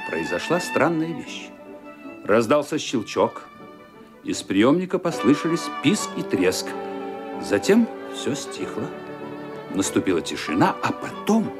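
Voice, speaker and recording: A man narrating a literary radio drama in an expressive storytelling manner, building suspense. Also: on the high side. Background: violin music.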